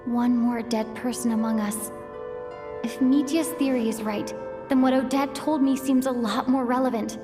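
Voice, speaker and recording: A level-headed young woman traveler, voiced by an actress in a game cutscene, her voice on the high side. She reasons things through in a measured, reflective way over clearly audible music. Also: fairly even intonation, close to an everyday voice. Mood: pensive. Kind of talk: video game cutscene acting.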